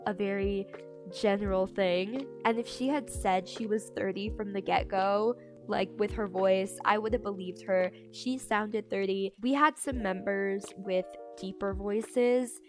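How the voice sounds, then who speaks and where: A young adult woman tells a casual storytime in a candid, chatty way, full of fillers and restarts. She leans hard into incredulous emphasis on the punchlines. A clear music track plays behind her voice-over. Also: medium pitch, unhurried. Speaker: storytime creator. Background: background music.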